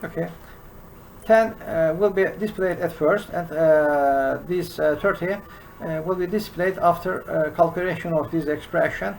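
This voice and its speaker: A man in his sixties with a Turkish accent, teaching a programming lesson from his desk in a slow, halting way full of "uh" fillers. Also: a little high, gravelly, low-quality recording. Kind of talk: screen-recorded coding lesson. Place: small room indoors.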